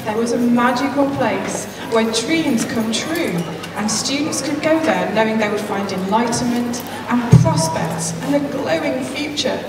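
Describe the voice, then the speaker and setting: A woman's voice: a little high, expressive, unhurried, few pauses, far from the mic. A woman giving scripted storybook narration on stage as a pantomime narrator. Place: large hall, live stage performance, recorded from afar. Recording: echoey hall from afar, crowd, loud noise.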